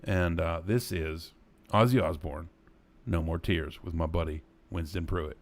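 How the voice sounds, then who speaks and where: A man with a very low voice hosts a live online music showcase. He talks casually and rambles, with many fillers as he introduces the videos. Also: measured pace. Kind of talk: casual live-stream chat.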